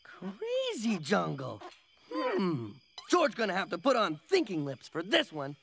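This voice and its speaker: A man's voice: high-pitched, huge pitch swings, a little slow, gravelly, background noise, heavily acted. A man voicing a friendly, dim-witted and naively confident young jungle man in exaggerated cartoon style, talking in broken grammar. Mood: earnest. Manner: speaks of himself in third person, broken grammar. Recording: cartoon music underneath.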